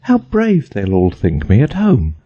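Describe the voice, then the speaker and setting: A man's voice: on the low side, wildly swooping pitch, unhurried, dark tone, husky, lo-fi sound, full character voice. A man voicing a curious, chattering, earnest little girl who muses primly aloud to herself in an audiobook. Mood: musing, chattering. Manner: musing aloud to herself, prim. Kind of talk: audiobook character voice.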